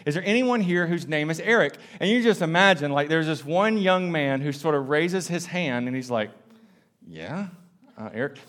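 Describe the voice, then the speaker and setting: Higher-voiced Southern preacher. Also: male voice, lively intonation, normal speed, fairly bright tone. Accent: Southern American. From South Carolina. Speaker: pastor. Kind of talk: sermon.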